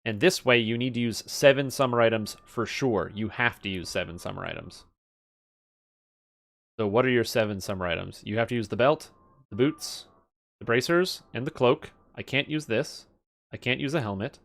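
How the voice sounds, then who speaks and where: A man's voice: medium pitch, normal speed, creaky, a bit bright. A man giving casual live game stream commentary, thinking aloud as he mutters through details and swears now and then. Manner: muttering through item stats, profane.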